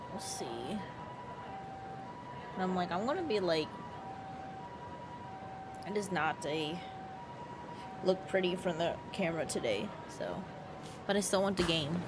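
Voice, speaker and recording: A chatty woman streamer comments casually on her game, her voice stuffy and congested. Her voice sits a little low. A steady noise hums behind her. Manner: chatty, joking. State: congested from allergies. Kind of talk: casual live gameplay commentary.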